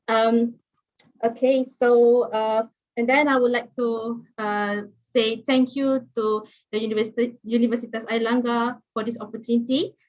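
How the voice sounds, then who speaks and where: A woman's voice: a little high, darkish tone. Malaysian woman lecturing over a muffled call. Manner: chatty, friendly, many fillers and restarts. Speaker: guest lecturer. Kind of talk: online lecture. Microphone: video call. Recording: muffled video call audio.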